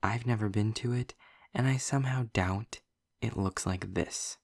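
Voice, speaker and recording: A man playing a sarcastic, articulate demon in an audio roleplay, with a gravelly, breathy voice and wry, formal delivery. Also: on the low side, darkish tone, no room sound, studio quality. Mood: testy, disdainful. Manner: wry, formal wording, deliberate pauses. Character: sarcastic, snarky male demon, articulate and testy. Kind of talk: scripted audio roleplay monologue addressed to the listener.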